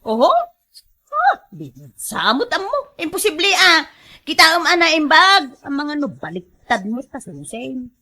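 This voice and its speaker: A woman's voice: high voice, huge pitch swings, a little slow, pushed and bright, exaggerated voice. A woman with an Ilocano accent playing a guilty, evasive wife in a radio drama, defensive and pleading. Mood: coaxing.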